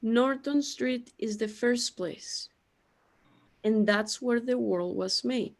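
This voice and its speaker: A woman playing a lonely, self-pitying immortal creator god in a scripted audio drama, speaking in a plaintive, deliberate way. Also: fairly high pitch, gravelly. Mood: solemn.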